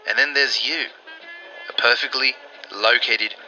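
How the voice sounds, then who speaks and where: An actor playing an earnest, persuasive Allied intelligence operative in a 1940s-style radio drama, explaining things deliberately. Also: male voice, mid-range voice, steady tone, bright, forceful tone, faint music behind, poor audio. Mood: businesslike, urgent.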